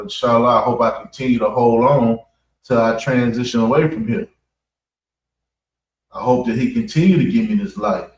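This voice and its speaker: An American man giving an off-the-cuff religious address, halting and repeating phrases for emphasis. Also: mid-range voice. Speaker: preacher giving a public address at a mosque. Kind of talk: extemporaneous religious talk. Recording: echoey room, distant mic.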